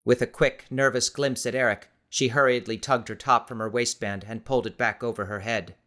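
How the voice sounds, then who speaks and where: A man reading dramatic scripted audiobook narration in a measured, suspenseful manner. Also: medium pitch, steady tone, sharp tone.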